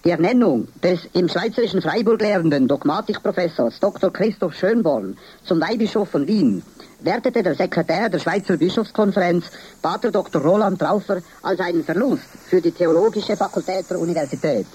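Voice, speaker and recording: A man from Valais with a Swiss accent, presenting church news on the radio in a formal, measured reading. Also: sits high, animated, a little mellow. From Valais, Switzerland. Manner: formal, measured, clear delivery.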